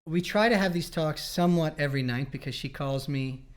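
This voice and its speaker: A man in his thirties from Canada, hosting a casual relationship chat in a relaxed, rambling way with joking asides. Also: a little high, brisk. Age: 30s. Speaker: podcast host.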